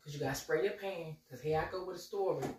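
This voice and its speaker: A woman's voice: sits low, fast talker, darkish tone. A woman in her forties with a slight cold, making a casual, chatty cooking vlog in her home kitchen and calling her viewers y'all. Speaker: cooking vlogger. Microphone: camera a few steps away. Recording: roomy kitchen echo, mic at a distance.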